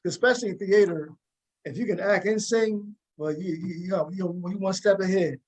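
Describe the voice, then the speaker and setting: A man's voice: sits high, normal speed, rough recording. A man hosting a casual interview over a video call, often restarting and repeating his phrases. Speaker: interviewer, show host.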